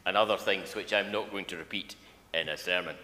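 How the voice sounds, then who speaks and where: An older man, a minister, preaching a conversational sermon in a wry, informal way with halting phrases. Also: sits low, fairly even intonation, sharp tone, a little hiss or hum. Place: large church, at a lectern. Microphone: gooseneck lectern microphone. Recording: echoey church hall.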